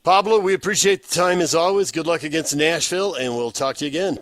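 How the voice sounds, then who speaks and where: A man's voice: fairly high pitch, quick pace, a bit bright, dry recording. An American man working as a sports radio host, interviewing guests and reading promos. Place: radio studio.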